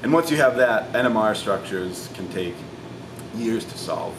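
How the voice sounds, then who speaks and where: A man's voice: on the low side, animated, a little slow. A man in his thirties giving an earnest, informal explainer to camera as a science video host. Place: indoor laboratory with large windows. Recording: echoey room, distant mic, clear ventilation noise.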